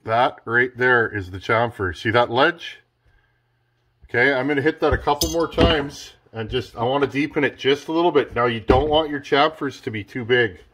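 A man explaining his chainsaw porting work in a relaxed, chatty workshop tutorial, with self-deprecating asides, speaking off camera over his bench. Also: mid-range voice, moderate pace. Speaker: chainsaw builder demonstrating porting. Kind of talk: casual hands-on workshop tutorial. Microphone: camera close to workbench, speaker off camera. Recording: noisy workshop with power tool. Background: rotary grinding tool whirring.

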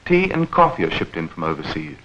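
A man narrating a 1940s educational newsreel in a measured, clearly enunciated, explanatory manner. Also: deep voice, big pitch swings, a bit bright, a little hiss or hum. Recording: dull vintage 1940s film soundtrack.

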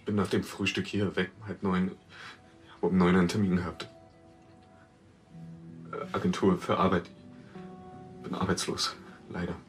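An actor playing a subdued, bereaved boyfriend being questioned in a TV crime drama, speaking plainly and haltingly. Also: male voice, sits low, level tone, soft background music, lightly acted.

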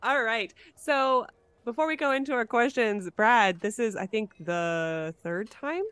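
A woman's voice: on the high side, measured pace, fairly bright tone, clean tone, no room sound. A woman hosting a podcast in a casual, conversational interview style. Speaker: podcast host.

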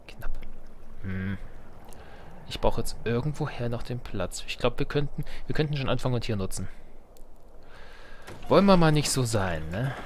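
A man's voice: medium pitch, a little hiss or hum. A man giving casual gameplay commentary, muttering to himself as he thinks aloud. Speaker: Let's Play gamer.

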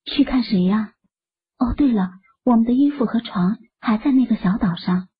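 Kind young wife, sweet soft tone, breathy, muffled phone-like audio. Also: female voice, fairly high pitch, normal speed. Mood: curious.

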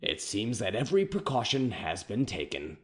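A man reading an audiobook, voicing a proud, defiant captive king who speaks in a scornful, measured way. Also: fairly low pitch, very animated, fairly bright tone. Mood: disdainful.

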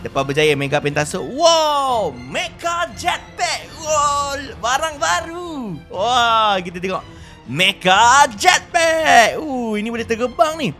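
A Malaysian man hosting a gaming video with excited, animated roleplay commentary, exclaiming and drawing out words. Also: very high-pitched, faint music behind. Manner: animated, exclaiming, drawn-out shouts.

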